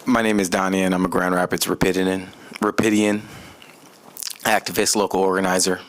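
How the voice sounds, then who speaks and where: A man's voice: sits low, level tone, a little fast, a little hiss or hum. An American man giving an impassioned public comment as an activist, speaking emphatically and repeating phrases for weight. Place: city commission meeting room.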